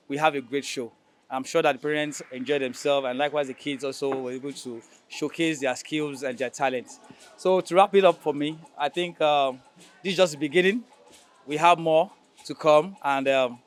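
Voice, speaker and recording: An adult man with a Nigerian accent giving formal, congratulatory remarks as a school staff member. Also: on the high side. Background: music.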